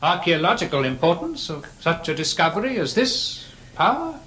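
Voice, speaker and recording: An actor playing a learned, excitable gentleman explorer in a horror radio play, musing and exclaiming in hesitant, scholarly fashion. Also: high voice, normal speed. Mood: awed fascination. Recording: echoey, noisy recording with ambience.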